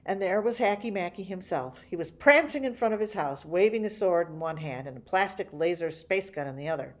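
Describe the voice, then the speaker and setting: A woman reading a children's picture book aloud in an expressive storytelling way. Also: on the low side, brisk. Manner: expressive, animated.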